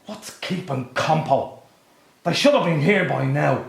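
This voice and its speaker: A gruff, impatient man as an actor plays him in a radio drama, grumbling in short lines. The voice is fairly high and full of creak, in an echoey room. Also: expressive, a little slow, slight background noise, full character voice. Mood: tense, irritable. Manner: terse, grumbling. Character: impatient, gruff man waiting tensely in hiding.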